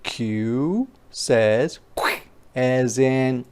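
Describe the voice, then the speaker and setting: A teacher reading aloud to young children in a phonics lesson, enunciating letter sounds and words with exaggerated care and adding playful, expressive asides. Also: medium pitch, drawn-out words, clear, resonant tone.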